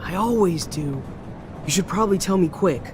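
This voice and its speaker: A man's voice: high-pitched, animated, normal speed, music in the background. An actor playing a loyal, nerdy young male student in a dramatic video game, talking in an earnest, casual, wry way. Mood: curious, supportive. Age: young adult. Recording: storm noise behind.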